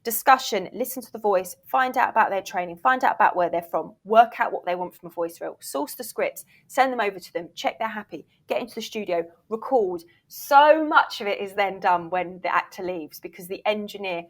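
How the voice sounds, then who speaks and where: Female guest, mid-pitched voice, conversational and candid, emphatic explainer who restarts sentences as she goes. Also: animated, brisk, few pauses. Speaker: voice reel producer, interview guest. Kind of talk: casual, chatty explanation.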